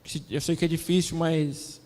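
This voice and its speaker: A Brazilian man commenting from the audience, polite and tentative, with a halting delivery. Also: a little high, level tone. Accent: Brazilian Portuguese. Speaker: audience member making a comment. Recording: reverberant hall, distant quiet voice.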